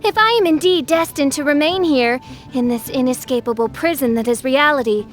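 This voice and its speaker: A woman voicing a theatrical teenage girl in a video game who styles herself a dark princess, with grandiose, archaic speech. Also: sits high, fairly quick, soft background music, natural voice. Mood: solemn, dramatic. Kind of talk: scripted video game character acting.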